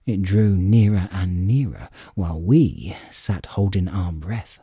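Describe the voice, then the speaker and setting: A man narrating an adventure audiobook in an expressive, measured storytelling manner. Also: sits low, breathy edge, dry recording, studio quality. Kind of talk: dramatic scripted storybook narration.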